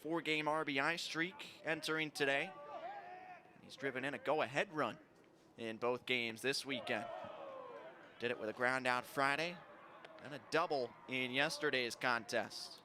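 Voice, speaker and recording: A man's voice: medium pitch, fairly even intonation, unhurried. A man doing live play-by-play commentary of a baseball game as a sports announcer. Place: ballpark, live broadcast. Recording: noisy outdoor stadium ambience.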